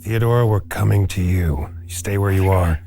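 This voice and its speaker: A man's voice: sits low, normal speed, gravelly, warm tone. A man playing a tabletop role-playing game, speaking in character as a security officer. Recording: music behind the voice.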